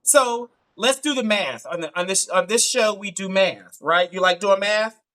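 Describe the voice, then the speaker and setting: Male dating show host, bright and edgy tone, teasing and jokey, video call. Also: high voice, normal speed. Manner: playful, teasing, laughs often. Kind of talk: live online dating show hosting.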